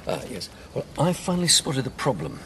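A breezy, self-assured bloke, chats jovially in a radio soap. His intonation is very animated, over faint background noise. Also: medium pitch, fairly quick. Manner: casual, jovial. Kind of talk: naturalistic radio soap acting.

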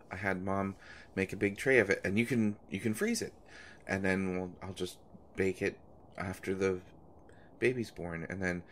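A man acting a low-voiced, stammering, nervous guy. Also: slight background noise. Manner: halting, stammering, flustered. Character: nervous, eager-to-please young man caring for pregnant partner. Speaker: audio roleplay performer. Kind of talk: intimate one-sided audio roleplay drama.

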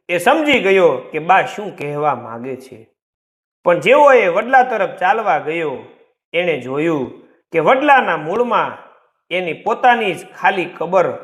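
Male narrator, theatrical storytelling, fairly high voice, very animated intonation. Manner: theatrical, deliberate. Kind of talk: dramatic scripted story narration.

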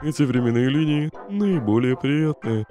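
A weary, joking skeleton big brother performed by a man for a comic dub. He talks in an easygoing, slangy way with a very mobile pitch. Faint music plays behind him. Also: expressive, normal speed, mellow, lightly acted. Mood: knowing, casual. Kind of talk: comic dub voice acting with narration.

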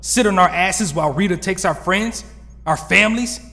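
A man's voice: high voice, moderate pace, vocal fry, pushed and bright, long reverb tail, full character voice. A man playing a hot-headed, loyal young superhero in an audio drama, with an emphatic, confrontational delivery. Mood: angry.

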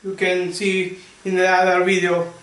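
Man, high voice, slow halting English, echoey home kitchen, faint noise. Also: completely flat delivery, a bit bright. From Vietnam. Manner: halting, searching for English words, many restarts. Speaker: cooking channel host. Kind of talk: casual cooking demonstration. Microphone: camera mic, often at arm's length or across the room.

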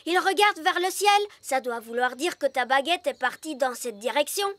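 A woman voicing an eager, brave young elf boy in a children's cartoon dub, earnest and quick to exclaim. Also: high-pitched, expressive, fairly bright tone, no echo. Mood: helpful, confident. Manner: earnest, exclaiming, hesitant when unsure.

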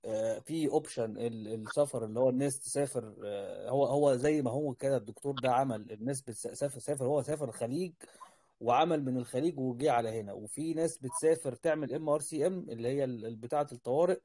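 A man's voice: mid-range voice, fast, mellow, a little hiss or hum. A man with an Egyptian Arabic accent giving casual advice on a group voice call, rambling and repeating his words. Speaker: host, doctor advising junior colleagues. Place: online voice call.

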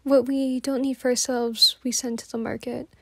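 A woman's voice: a little high, little pitch movement, moderate pace, dry recording. A woman playing a wistful farm girl who longs for the outdoors, in a plain-spoken storytelling monologue. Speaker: student performer. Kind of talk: dramatised oral-history monologue.

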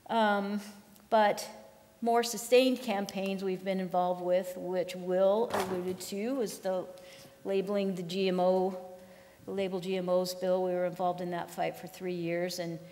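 A woman's voice: on the low side, flat delivery, a little slow, darkish tone. A woman farm manager giving a conference keynote at a podium, speaking in a conversational way with frequent ums and restarts. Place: large lecture hall. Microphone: podium microphone. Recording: long hall reverb.

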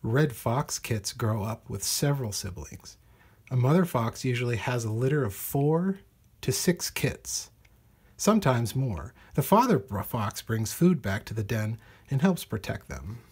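A man reads a children's nature book aloud. His voice sits at a middling pitch with a noticeably breathy, husky grain. He phrases each sentence deliberately, as narration for young listeners. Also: lively intonation, darkish tone. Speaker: storybook reader. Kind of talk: read-aloud children's nonfiction storybook narration.

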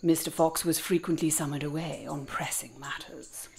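A woman playing a grandmother in an audio drama, telling an old-fashioned tale in a hushed, measured manner. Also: sits low, steady tone, vocal fry, crowd noise. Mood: ominous storytelling. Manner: hushed, measured, archaic storytelling.

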